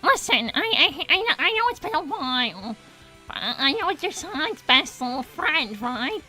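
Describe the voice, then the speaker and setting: A woman's voice: sits high, sing-song, normal speed, sharp tone, faint music behind, exaggerated voice. A woman playing a pushy, gushing, haughty cartoon hedgehog sister in a prim, theatrical way on an improvised livestream. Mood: pushy, concerned.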